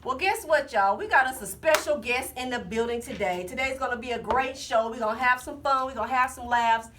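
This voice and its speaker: A woman's voice: a little high, a little fast. A woman hosting a casual talk show, teasing her co-host and chatting in loose slang. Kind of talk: casual chat. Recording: distant mic with faint hiss.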